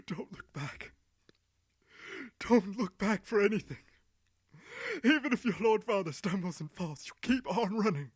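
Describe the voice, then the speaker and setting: A man's voice: very high voice, very animated, fast talker, few pauses, no room sound, clean studio sound, pitched above the performer's own voice, heavily acted. A man voicing a weary, grieving old man who pleads urgently. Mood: pleading.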